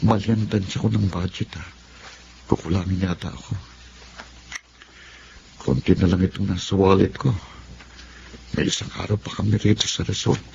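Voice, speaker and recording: Young filipino son in a radio drama, insecure and defensive, muffled noisy recording. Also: male voice, low-pitched, fairly even intonation, normal speed, vocal fry, pauses often, mellow. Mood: worried. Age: young adult. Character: insecure young man, a pretentious debt-ridden son. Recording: muffled lo-fi audio with background noise.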